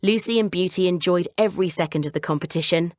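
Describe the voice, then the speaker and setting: A woman narrating a scripted children's bedtime story in a measured, clearly phrased way. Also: lowish voice, a little mellow, dry recording, clean studio sound.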